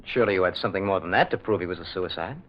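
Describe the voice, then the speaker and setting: A man plays an inquisitive, doubting friend in a radio mystery. He talks fast in a lowish, lively voice, on an old recording with some hiss. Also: dull audio, lightly acted. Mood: curious, puzzled. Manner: inquisitive, brisk. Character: curious, doubting male companion of a priest.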